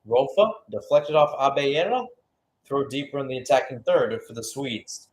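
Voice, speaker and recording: A man's voice: medium pitch, expressive, moderate pace. A man giving live radio-style play-by-play commentary on a football match, with exclamatory phrasing and rhetorical questions.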